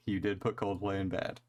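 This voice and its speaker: A man's voice: low-pitched, level tone, a little slow, darkish tone. A young man co-hosting a casual podcast, talking loosely, swearing freely and laughing easily. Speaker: podcast co-host. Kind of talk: casual chat.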